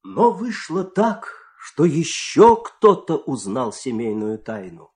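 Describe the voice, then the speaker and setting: Male, folk-tale teller and country husband for audio drama: expressive, theatrical, very lively intonation. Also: on the high side, slow pace. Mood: animated storytelling. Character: storyteller and coaxing, secretive farmer husband of a folk tale. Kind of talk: expressive audio play acting and narration.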